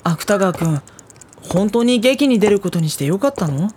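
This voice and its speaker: A woman playing a gentle, diffident high school boy with a boyish voice in an anime audio drama. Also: animated, normal speed, a little hiss or hum, close to an everyday voice. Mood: gentle, curious. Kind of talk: anime audio drama dialogue and inner monologue.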